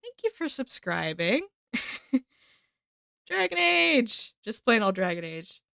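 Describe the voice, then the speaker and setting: A woman's voice: a little high, sing-song, slow pace, gravelly, pushed and bright, clean tone, dry recording, clean studio sound, soft background music. A woman streaming from her home setup on a desk mic, chatting casually with her viewers and thanking subscribers, a little stuffy from a cold. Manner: chatty, upbeat, talks to chat and thanks subscribers. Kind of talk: casual live-stream chat. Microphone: desk microphone with headphones.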